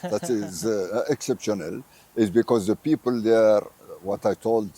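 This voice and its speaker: A man's voice: lowish voice, measured pace, warm tone, breathy edge. An older man from Morocco, a visiting tourism professor, answering interview questions in English with many fillers and restarts.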